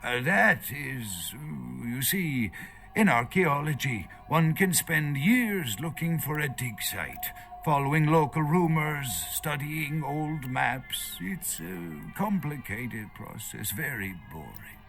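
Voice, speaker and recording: Nervous, animated, high-voiced scholar. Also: male voice, takes it slowly, vocal fry, soft background music, heavily acted. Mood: evasive, defensive. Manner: lecturing, hesitant, searching for words. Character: nervous, erudite male foreign archaeologist held captive. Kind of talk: video game voice acting.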